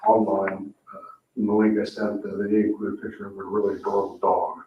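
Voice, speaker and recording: A man presenting a staff report at a public meeting in a matter-of-fact, halting way. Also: fairly low pitch, level tone. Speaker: town staff member presenting to a board. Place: indoor municipal meeting room. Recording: muffled, echoey, noisy room, distant mic.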